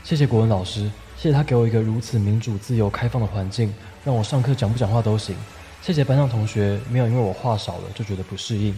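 Guy doing a lovesick wordy schoolboy for audio drama, theatrical and earnest, lowish voice, bit of music under it. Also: quick pace, warm tone, natural voice. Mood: mock-grand gratitude. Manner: wordy, mock-solemn, theatrical. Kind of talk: radio drama dialogue and inner monologue. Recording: music behind the voice.

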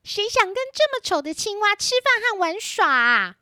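Here is a willful young princess in a children's audio play. The voice is pitched very high and moves around animatedly. It is girlish and petulant, with a close dry sound. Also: big pitch swings, moderate pace, bright, forceful tone, no echo, exaggerated voice. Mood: disdainful.

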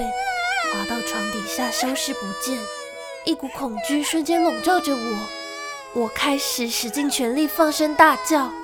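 An actress playing a lonely young gentlewoman who tells of a frightening childhood in an emotive radio drama style. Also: high-pitched. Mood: frightened. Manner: storytelling, emotive.

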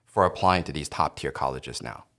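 A man hosting a podcast in casual, animated chat and laughing easily with his guests. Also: extremely low pitch, normal speed, creaky. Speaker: podcast host.

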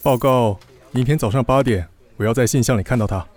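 A man's voice: medium pitch, fairly quick, darkish tone, close to an everyday voice. An actor playing a composed, demanding male company boss in a radio drama, speaking curtly with authority. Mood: cool, commanding. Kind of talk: Mandarin radio drama acting.

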